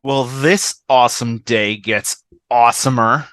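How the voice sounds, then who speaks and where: A woman's voice: a bass voice, unhurried, dry recording, studio quality. A woman reading a sarcastic, easygoing woman in a sitcom table read. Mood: breezy sarcasm. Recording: home video call reading.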